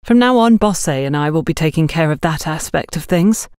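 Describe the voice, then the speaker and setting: A woman voicing a brisk, disapproving female shop worker in an audiobook, curt and bossy. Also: low-pitched, expressive, darkish tone, smooth, no echo, studio quality.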